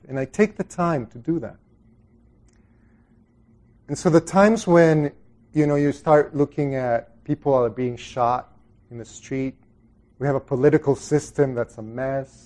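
A man's voice: medium pitch, mellow. A middle-aged man from El Salvador giving an informal dharma talk to a group, conversational and reflective, often trailing off into asides. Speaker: Buddhist meditation teacher.

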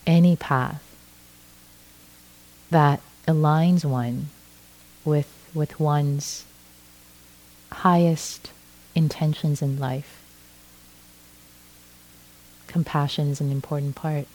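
A middle-aged woman from Iran, a native Farsi speaker, giving a gentle dharma talk as a meditation teacher, often restarting her phrases. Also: low-pitched, fairly even intonation, low-quality recording. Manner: slow, gentle, with frequent restarts.

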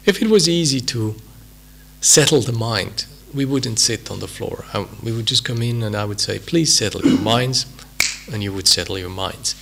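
A man with a Swiss accent giving an unhurried, reflective talk as a meditation teacher, with many pauses and fillers. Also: fairly low pitch, expressive, creaky, a bit bright, a little hiss or hum. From Switzerland. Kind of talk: dharma talk at a retreat.

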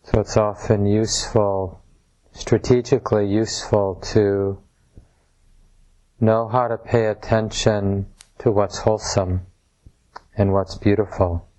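A man leading a guided meditation as a teacher, speaking in measured short phrases with pauses between them. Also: on the low side, little pitch movement, warm tone, low-quality recording. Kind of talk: guided meditation, softly instructive. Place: room at a retreat center.

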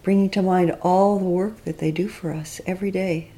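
A woman teacher leading a guided body scan meditation, speaking in gentle, reflective, unhurried instructions with long pauses. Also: fairly low pitch, fairly even intonation.

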